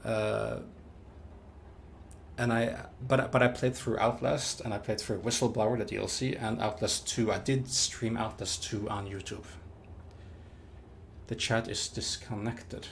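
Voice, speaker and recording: Halting man streaming. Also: lowish voice, fairly even intonation, vocal fry, a little hiss or hum. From Norway. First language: Norwegian. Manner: halting, repeats words, chatty with viewers. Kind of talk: live gameplay commentary.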